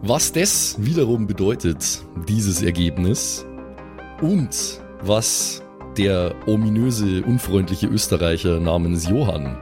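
A man narrating an improvised tabletop role-play story as game master, in a deliberate, suspenseful storytelling manner. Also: low voice.

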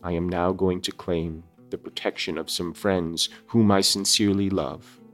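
A man voicing an earnest, anguished outcast creature in a solemn, formal, measured storybook reading. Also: low voice, steady tone, fairly bright tone, faint music behind. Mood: sorrowful, fearful.

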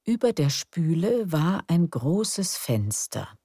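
A woman narrating a calm bedtime story in a slow, soft, soothing way. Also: low voice, husky, no room sound, clean studio sound.

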